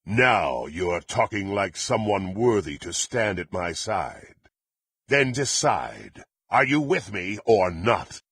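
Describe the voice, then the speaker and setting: An actor playing a brutal, threatening warrior in a fantasy video game, with a raspy voice and imperious, archaic diction. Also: male voice, on the low side, very animated, slow, fairly bright tone, dry recording, heavily acted. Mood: cold, threatening. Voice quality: gravelly, raspy. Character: brutal, menacing half-orc warrior serving a demonic patron.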